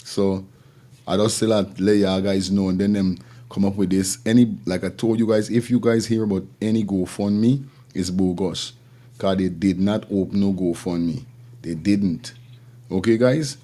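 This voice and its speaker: A man's voice: low-pitched, steady tone, normal speed, warm tone. A man with a Caribbean accent hosting a casual live stream in informal, slangy, repetitive patter. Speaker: live show host. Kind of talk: casual live-stream talk with shout-outs.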